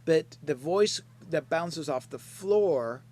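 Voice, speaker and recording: A man teaching a live mixing class, explaining slowly and checking in with his students as he goes. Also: fairly high pitch, animated, a little mellow. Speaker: mixing instructor. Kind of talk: live online class lecture.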